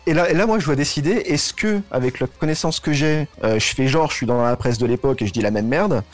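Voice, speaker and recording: A man's voice: mid-range voice, quick pace. A man talking casually as a guest on a live show. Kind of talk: casual chat. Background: music.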